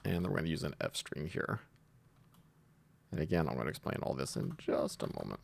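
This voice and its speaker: Very deep, gravelly-voiced man teaching coding. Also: big pitch swings, brisk. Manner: explains step by step while typing, thinking aloud. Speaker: programming tutorial host. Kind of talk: informal screen-recorded coding tutorial.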